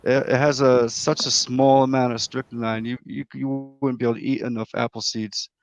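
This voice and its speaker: A man's voice: medium pitch, little pitch movement, moderate pace. A man chatting on an online panel, rambling and restarting his sentences. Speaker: panel guest. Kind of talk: casual online panel chat.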